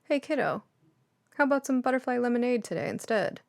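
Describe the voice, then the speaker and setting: A woman's voice: a little high, animated, a little fast, creaky, dry recording, clean studio sound, voice pushed up. A woman voicing a kindly, cheerful older woman in an audiobook story, folksy and affectionate in manner. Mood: cheerful, caring. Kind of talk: audiobook character dialogue.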